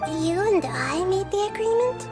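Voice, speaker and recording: A woman voicing a gentle, curious young girl deity who speaks softly and inquisitively. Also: very high-pitched, animated, moderate pace. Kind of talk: video game cutscene dialogue. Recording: game audio with music behind.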